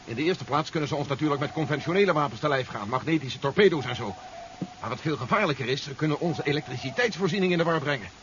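An old, muffled radio play with obvious noise. a cautious, questioning submarine passenger, with a slightly high, very expressive voice. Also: male voice, quick pace. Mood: wary, amazed. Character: wary, questioning male submarine passenger, astonished by the vessel. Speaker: actor in a radio drama. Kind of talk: 1970s radio drama acting. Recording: muffled, noisy old 1970s radio recording.

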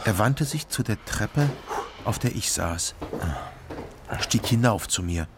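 Low, gravelly deadpan detective. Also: male voice, slow, noisy background. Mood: laconic. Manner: terse, deadpan, clipped sentences. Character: East German private detective, wary, laconic narrator. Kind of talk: scripted radio crime drama narration.

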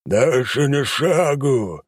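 Huge menacing demon, a man doing the voice, roaring slow threats for a dark fantasy audiobook. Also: mid-range voice, expressive, slightly rough, dry recording, clean studio sound, pitched above the performer's own voice. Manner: slow, drawn-out threatening roar. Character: huge clawed male demon, menacing and boastful. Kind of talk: audiobook character voice.

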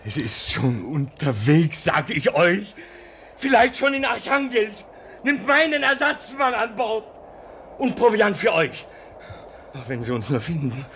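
A man's voice: high-pitched, pushed and bright, slight background noise, full character voice. An actor playing an anxious, overwrought man at a polar station in a theatrical, urgent German radio play.

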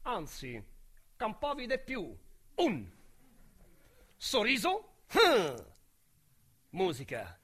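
Cocky roman crook, high bright voice, trash-talking radio play lead, faint music behind. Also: male voice, huge pitch swings, drawn-out words, long pauses, slight background noise, exaggerated voice. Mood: boastful. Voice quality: coarse street slang, swaggering delivery. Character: brash Roman street criminal, boastful, foul-mouthed. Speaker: narrator and lead character. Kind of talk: radio drama monologue.

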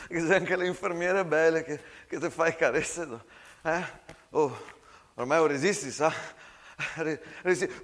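Casting: male actor as young rustic trench soldier, Venetian accent, high voice, urgent, halting, repetitive pleas to a comrade. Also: a bit bright, natural voice. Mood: anxious encouragement. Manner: stammering, repetitive, urgent pleading. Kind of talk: theatrical war monologue.

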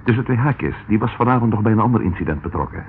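A man's voice: on the low side, lively intonation, fast, close to an everyday voice. An actor playing a wry, matter-of-fact male police detective reporting in a radio drama. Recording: tinny, noisy old radio recording.

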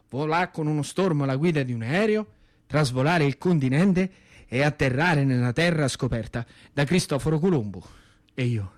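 A man with a central Italian accent performing a dramatic storytelling monologue in a colloquial, rhythmic, theatrical way. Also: fairly high pitch. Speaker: actor and storyteller.

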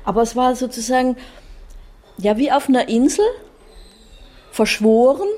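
A woman's voice: a little high, fairly even intonation, moderate pace, darkish tone. A woman reminiscing in conversation in a reflective way, searching for her words as she goes.

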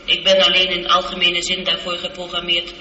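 A woman playing a polite, logical ship's computer in a science fiction radio drama, speaking in a measured, formal, precise way through a reverberant effect. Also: on the low side, completely flat delivery, sharp tone. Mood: gently matter-of-fact. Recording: echoey, dull, lightly noisy radio recording.

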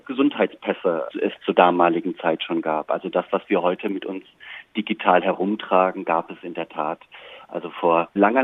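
A man's voice: deep voice, no echo. A man giving reflective, articulate answers as an interview guest, choosing his phrasing with care. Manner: thoughtful, articulate, considered phrasing. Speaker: interview guest, playwright and director. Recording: tinny, narrow phone-like line.

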